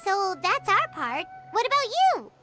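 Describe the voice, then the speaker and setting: A woman voicing a tiny, eager fairy-like game companion with a high, chirpy voice, over loud game music. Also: big pitch swings, full character voice. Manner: high, bright and quick to agree.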